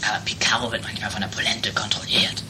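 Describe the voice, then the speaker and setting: Woman doing a very low, whispery male tramp. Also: little pitch movement, quick pace. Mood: furtive. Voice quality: hoarse, whispery. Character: shady, jittery male tramp and jailbreaker. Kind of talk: improvised amateur audio drama. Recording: noisy home-made recording.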